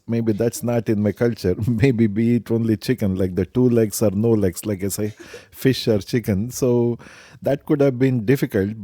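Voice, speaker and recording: A middle-aged man, answering family interview questions in a measured, reflective way. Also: fairly low pitch, mellow, no echo, clean studio sound. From India. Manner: measured, reflective, some hesitations. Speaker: interviewee, stepfather.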